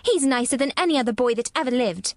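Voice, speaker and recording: Woman voicing a stubborn, sour little girl, high-pitched. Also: big pitch swings, normal speed, no room sound, studio quality. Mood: indignant. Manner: sharp, contrary, retorting.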